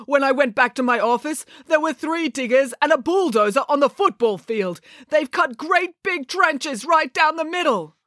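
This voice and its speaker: A woman voicing an angry, excitable male PE teacher with a Russian accent in a children's storybook reading. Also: high voice, no echo, studio quality, voice pushed up. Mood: furious. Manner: indignant, exclamatory, halting when furious.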